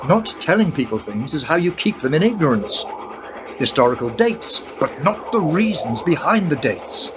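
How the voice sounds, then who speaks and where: A man playing a knowing guide who explains history to a young woman in a radio play, in an explanatory, unhurried way. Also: fairly high pitch, big pitch swings, noisy background. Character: wise older male guide through history, knowing. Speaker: narrator-guide.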